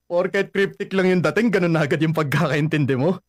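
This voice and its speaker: An actor playing a lovesick young ex-boyfriend who dodges questions and laughs things off in a radio drama. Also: male voice, sits high, normal speed, no echo, clean studio sound. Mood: evasive. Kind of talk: Filipino radio drama acting.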